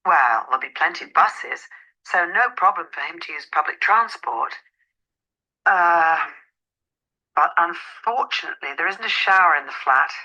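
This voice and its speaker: A woman's voice: lowish voice, expressive, normal speed, bright, forceful tone, highs cut off. A woman playing a polite, helpful estate agent in an acted phone dialogue, businesslike and courteous.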